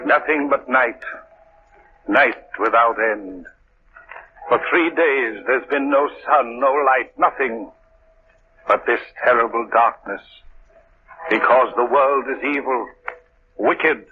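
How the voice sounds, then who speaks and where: A man's voice: mid-range voice, slow, pauses often, slight background noise, natural voice. An actor playing a doom-preaching male street preacher in an old radio drama, speaking in a grave, solemn, intoning way. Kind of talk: 1940s radio acting. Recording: tinny lo-fi old radio recording.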